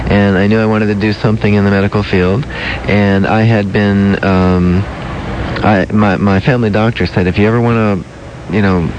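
A soft-spoken man, a dentist hosting a casual interview podcast, talking gently with many ums and restarts. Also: lowish voice. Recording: lo-fi with faint noise.

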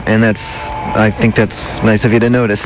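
A soft-spoken man, a dentist hosting a casual interview podcast, talking gently with many ums and restarts. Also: fairly low pitch, little pitch movement, normal speed, over music. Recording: lo-fi with faint noise.

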